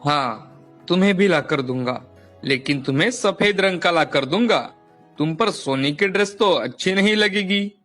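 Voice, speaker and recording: Male actor as doting village father, earnest, plain-spoken, fairly high male voice, storybook tale, background music. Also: animated, moderate pace, fairly bright tone. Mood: indulgent. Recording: music playing behind the voice.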